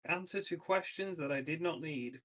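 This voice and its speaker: A man's voice: fairly high pitch, normal speed, a bit bright. A man talking casually as a player in a tabletop roleplaying game. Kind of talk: casual chat.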